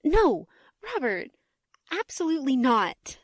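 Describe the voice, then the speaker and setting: Woman acting a high-voiced, emphatic young heiress. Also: sing-song, slow pace, no room sound, full character voice. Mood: protesting. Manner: dramatic, emphatic. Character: passionate, protesting young heiress, a loyal fiancée. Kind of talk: 1940s radio drama acting.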